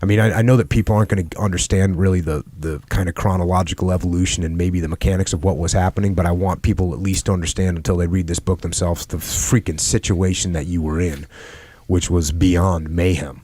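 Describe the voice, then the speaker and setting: A man's voice: low-pitched, steady tone, fast, hardly any pauses, warm tone, husky, dry recording. A man hosting a podcast, asking questions and commenting in a conversational interview style. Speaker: podcast host.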